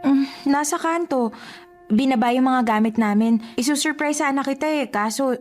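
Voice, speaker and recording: An actress with a Filipino accent playing a bubbly, inquisitive and unsuspecting younger sister in a radio drama. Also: female voice, high voice, moderate pace, natural voice. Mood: surprised, cheerful. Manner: chirpy, inquisitive. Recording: music under the voice.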